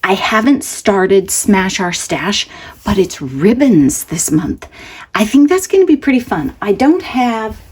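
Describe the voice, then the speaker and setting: A woman's voice: medium pitch, sing-song, measured pace, slight background noise. A woman with a congested voice gives a casual, chatty craft update as host, rambling conversationally with self-joking asides. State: congested from allergies.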